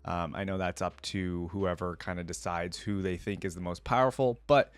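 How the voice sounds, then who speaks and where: Male reaction host, lower register, dry recording, casual asides between read-aloud passages. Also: steady tone, moderate pace, no room sound. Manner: informal, rambling asides. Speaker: host of a game lore reaction channel. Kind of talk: casual reaction chat mixed with reading aloud.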